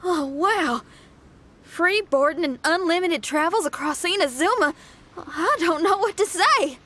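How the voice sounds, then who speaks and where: A woman's voice: very high-pitched, animated, a little slow, sharp tone, slight background noise, exaggerated voice. A woman voicing a spirited, loyal young street musician in a video game, informal and earnest. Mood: excited, grateful.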